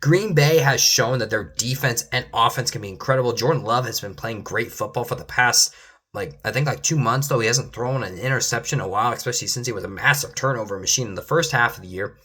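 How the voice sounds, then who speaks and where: A man's voice: medium pitch, fast, pushed and bright, breathy edge. Young male sports fan, casual predictions, rambling delivery with restarts, voice fading in and out. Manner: rambling, repeats "though" at line ends, many restarts. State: losing his voice at times. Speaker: sports commentator.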